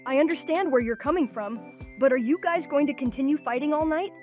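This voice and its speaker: A woman's voice: sits high, fairly quick, close to an everyday voice. A woman voicing a plucky, determined and kindhearted boy hero in a cartoon comic dub. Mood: kind, reassuring. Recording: music playing under the voice.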